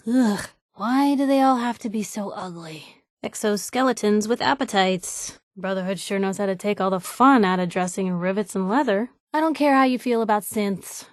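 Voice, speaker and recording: A woman's voice: mid-range voice, moderate pace, no echo, studio quality, lightly acted. An actress playing a plucky young woman reporter, a video game companion with a wry, conversational, sardonic way of talking. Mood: disapproving.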